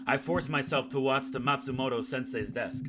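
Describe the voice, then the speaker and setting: A man's voice: mid-range voice, fairly even intonation, normal speed, background noise. A man hosting a gaming video, reading a visual novel aloud like a storyteller and dropping in casual, jokey commentary. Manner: jokey asides, dramatic storytelling read. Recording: music playing behind the voice.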